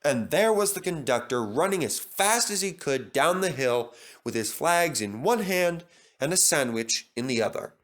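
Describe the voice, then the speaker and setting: A man's voice: fairly high pitch, animated, moderate pace. A man reading a children's story aloud as a scripted narrator.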